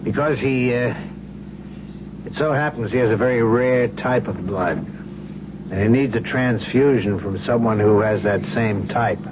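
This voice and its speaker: An actor playing an earnest, steady police sergeant in a 1970s radio drama. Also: male voice, mid-range voice, unhurried, natural voice. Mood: urgent, earnest. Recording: muffled, noisy old radio broadcast.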